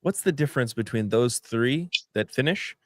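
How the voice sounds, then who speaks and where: A man's voice: mid-range voice, animated, moderate pace, dry recording, clean studio sound. A man in his thirties co-hosting a casual interview, friendly and slangy as he asks questions. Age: 30s. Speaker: co-host.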